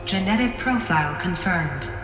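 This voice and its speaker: A woman voicing an impassive computer security system in a video game, giving flat, formal, clipped announcements. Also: lowish voice, normal speed, close to an everyday voice. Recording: echoey hall reverb, music underneath.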